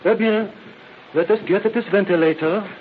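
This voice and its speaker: A man's voice: high-pitched, normal speed, narrow, tinny audio, natural voice. A man acting a weary French seaman with a French accent, mixing French phrases into stilted English in a radio drama. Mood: grumbling, wistful. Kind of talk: old-time radio acting. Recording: thin, hissy old radio recording.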